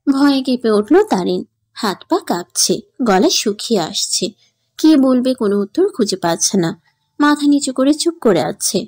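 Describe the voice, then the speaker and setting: A woman's voice: fairly high pitch, expressive, normal speed, a little mellow, dry recording, clean studio sound. A woman narrating a scripted dramatic story as its storyteller.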